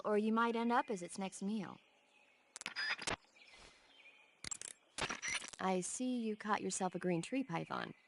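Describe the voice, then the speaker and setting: A woman plays an earnest, easily exasperated female advisor briefing a soldier in a video game. Her voice sits at a middle pitch and explains things clearly and informatively, with faint noise behind it. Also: natural voice.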